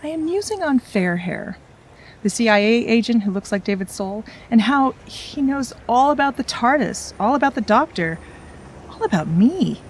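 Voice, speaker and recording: An actress playing a composed, courteous but firm medical woman in an audio drama, speaking in a measured way. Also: a little high, expressive, a little hiss or hum, natural voice. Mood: reflective. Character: composed, polite, firm female medical professional. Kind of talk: audio drama acting with reflective narration.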